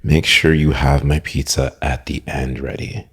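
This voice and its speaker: Very deep husky man. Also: little pitch movement, unhurried, fairly bright tone. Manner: playful and teasing. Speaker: podcast host. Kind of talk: casual podcast chat.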